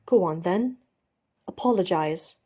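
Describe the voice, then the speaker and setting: A woman's voice: lowish voice, animated, unhurried, mellow, breathy edge. A woman voicing a fierce, wounded male pirate captain with a bitter, taunting manner in a storybook reading. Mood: menacing.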